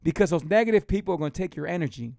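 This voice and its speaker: A man's voice: a little high, racing, gravelly, no echo, clean studio sound. A man nearing fifty gives casual, rambling motivational talk to his live viewers, repeating himself and urging them on. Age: 40s. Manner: rambling, repetitive, animated and encouraging. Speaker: motivational speaker.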